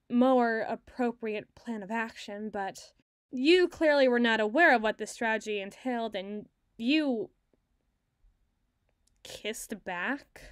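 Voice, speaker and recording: Casting: woman performer as wry, bossy female spy for roleplay audio, higher-pitched, wordy and teasing, dry close sound. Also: no room sound. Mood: flustered, defensive. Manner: wry, wordy, teasing, flustered when challenged. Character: sardonic, fast-thinking female secret agent, bossy boss to scientists. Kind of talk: ASMR roleplay audio drama.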